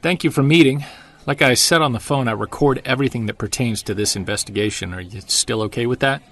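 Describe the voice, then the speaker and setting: Low-key investigator narrator, audio drama; gravelly, mid pitch, faint hiss. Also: male voice, fast, fairly bright tone. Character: Jared, a weary Sasquatch investigator.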